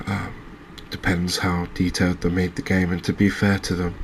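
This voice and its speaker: A soft-spoken man narrates a game in a relaxed ASMR style. His voice is deep and stays very even, with a hesitant, understated, musing delivery. A faint ambient noise sits behind him. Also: normal speed. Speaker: gaming video creator.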